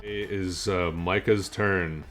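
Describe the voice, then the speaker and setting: A man's voice: deep voice, fairly even intonation, slow, music in the background. A man hosting a podcast in casual, bantering group chat. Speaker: podcast host.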